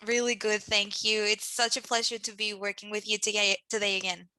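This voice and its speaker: A woman's voice: medium pitch, level tone, fairly quick, pushed and bright, rough recording. A young adult woman from Mexico hosting a friendly career panel chat, upbeat and chatty. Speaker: host.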